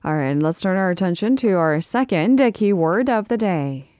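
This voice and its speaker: A woman hosting a news program, brisk and friendly as she introduces topics and cues her guest. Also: lowish voice, lively intonation, a little mellow, no room sound. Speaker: radio news host.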